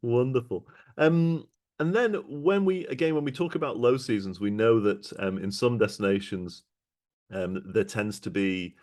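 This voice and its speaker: A man's voice: mid-range voice, normal speed, darkish tone. A man hosting a travel podcast, asking long, rambling questions in a friendly way. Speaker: podcast host. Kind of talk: conversational podcast interview.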